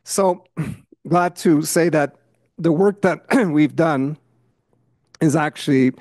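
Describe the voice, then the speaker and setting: Guy presenting slides at a conference, voice going, keeps clearing his throat, bit high, dry sound. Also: takes it slowly, a little mellow, no echo. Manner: frequent throat clearing, "right?" tags. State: losing his voice. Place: conference meeting room.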